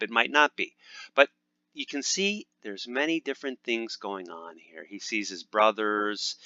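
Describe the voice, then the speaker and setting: A man's voice: mid-range voice, sharp tone, no echo, dull audio. A man hosting a casual language lesson. He talks upbeat over gameplay, with many "uh" fillers and restarts. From United States. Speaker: language teacher and channel host.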